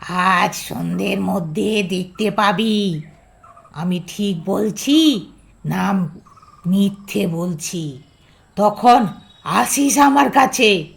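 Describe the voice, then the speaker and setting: A woman playing a menacing, accusing village tantric sorceress in a horror audio drama, with ominous, drawn-out taunts. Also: medium pitch, vocal fry. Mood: scornful, threatening.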